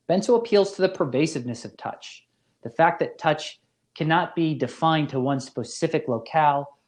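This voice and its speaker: A man giving a scripted academic lecture as a philosophy professor, in a measured, formal manner. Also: mid-range voice.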